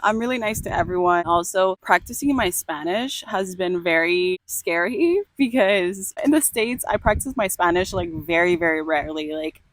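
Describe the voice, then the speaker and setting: A woman's voice: lowish voice, expressive, moderate pace, few pauses, dry recording, low-quality recording. A young woman from Cuba talking candidly to camera outdoors by a beach, emotional and laughing often. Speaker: vlogger. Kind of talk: casual vlog to camera.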